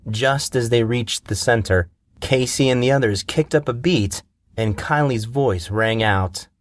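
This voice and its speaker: A man reading a fantasy story aloud, with even, steady storytelling. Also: medium pitch, measured pace. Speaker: narrator. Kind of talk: scripted fiction narration.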